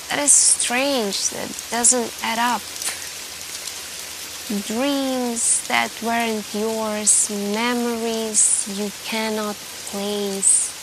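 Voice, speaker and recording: A woman acting as an anxious, secretive hacker friend in a sci-fi ASMR roleplay. She speaks softly and very slowly, halting as she confides, with a protective edge. A clear hiss like rain runs behind her voice. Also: on the high side. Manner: hesitant, halting, hushed and confiding. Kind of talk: soft-spoken ASMR roleplay.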